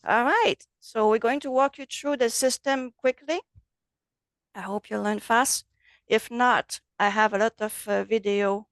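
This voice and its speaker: A woman with a French accent, a native French speaker, teaching a relaxed online software class over a video call. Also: fairly high pitch, a little slow, no room sound. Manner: friendly, loose, switches between English and French. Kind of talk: casual online class walkthrough.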